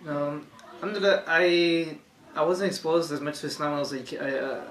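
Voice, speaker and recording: A young man from Chile, speaking as an interview guest in a soft, hesitant way, with many fillers, in a room lined with bookshelves. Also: a little high, fairly even intonation, normal speed. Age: young adult. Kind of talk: conversational testimony. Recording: echoey room, faint hiss.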